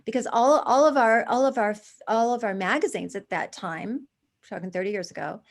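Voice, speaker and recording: Woman, 60s, interview guest; animated storyteller who restarts and adds asides. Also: on the high side, brisk, gravelly. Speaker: guest, charity founder. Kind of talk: conversational interview.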